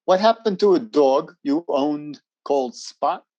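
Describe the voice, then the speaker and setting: An actor playing a firm, procedural military security officer in a radio drama, formal, brisk and husky. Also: male voice, a little high, a little mellow, dull audio, close to an everyday voice. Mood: brisk questioning.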